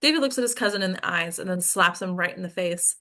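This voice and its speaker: A woman's voice: lowish voice, expressive, fast talker, pushed and bright. A woman hosting a table read, reading out screenplay stage directions as scripted narration.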